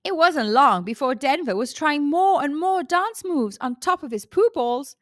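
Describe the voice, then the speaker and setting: A woman reads aloud to children as a storytime host. Her voice is high and swoops expressively, the delivery is slow, and the sound is dry. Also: animated, no room sound.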